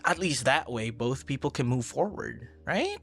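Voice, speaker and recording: Animated, gravelly young man, easily flustered, acted. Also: mid-range voice, faint music behind. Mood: sympathetic, reassuring. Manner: hesitant, halting, soft-spoken asides, repeats words. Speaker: audio roleplay performer. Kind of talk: ASMR boyfriend roleplay, conversational.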